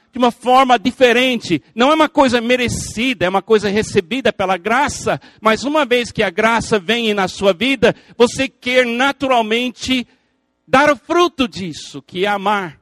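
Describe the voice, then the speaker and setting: A man's voice: very high-pitched, moderate pace, fairly bright tone, no echo. An older male pastor, a native English speaker, preaching a sermon in earnest with emphatic repetition and playful asides to the congregation.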